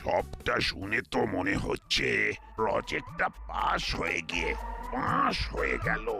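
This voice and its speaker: A man's voice: fairly low pitch, big pitch swings, measured pace, creaky, full character voice. A man voicing a pompous, cowardly village police inspector in a cartoon, stammering and repeating words when flustered. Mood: officious. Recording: loud background music.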